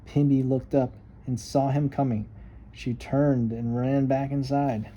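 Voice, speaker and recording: A man narrates a picture book for children in a very warm, mellow, mid-pitched voice. His reading is expressive and emphatic, with faint noise behind it. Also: unhurried, highs cut off. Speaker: storybook reader. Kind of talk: read-aloud children's story narration.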